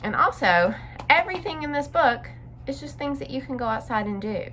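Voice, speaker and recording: A woman's voice: high voice, animated, fairly quick, a bit bright, a little hiss or hum. A woman reading a picture book aloud to young children, adding simple explanatory asides as a story-time teacher.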